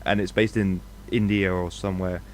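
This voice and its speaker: A man from Scotland without a Scottish accent, talking excitedly and swearing in a casual podcast chat. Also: low voice, normal speed, slight background noise. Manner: animated, swears, repeats himself. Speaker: podcast co-host. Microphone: podcast microphone.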